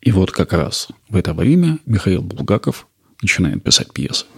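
A man giving a scripted history narration in a measured, explanatory way. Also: low voice, sing-song, vocal fry, breathy edge.

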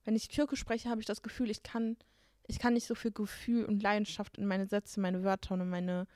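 A woman's voice: mid-range voice, little pitch movement, a little fast, a little mellow, no room sound, clean studio sound. A young woman co-hosting a podcast, talking in a casual way with lots of fillers and gestures. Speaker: podcast co-host. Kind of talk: casual chat.

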